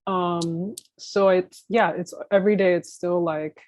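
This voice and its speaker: A woman's voice: lowish voice, steady tone, a little slow, darkish tone. An American woman from Miami speaking casually as an interview guest, thinking aloud in an informal, rambling way. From Miami, United States. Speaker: interview guest, new media artist.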